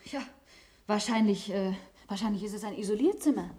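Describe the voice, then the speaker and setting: A woman's voice: medium pitch, a little fast, warm tone, close to an everyday voice. A woman playing a dutiful, evasive attendant in a radio science-fiction drama, courteous and hesitant. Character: dutiful, evasive female attendant minding a captive.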